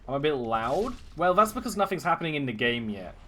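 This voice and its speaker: A man's voice: on the high side, sing-song, fairly quick, pushed and bright, a little hiss or hum. A young man streaming his first run of a game, chatting casually and joking at his own expense. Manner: playful, jokey, self-mocking. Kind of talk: casual gameplay commentary.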